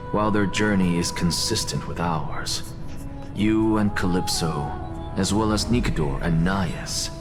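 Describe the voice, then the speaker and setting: An actor playing a proud, scheming professor who lectures in a calm, haughty way. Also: male voice, low voice. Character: proud, scheming male scholar professor, middle-aged. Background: game background music.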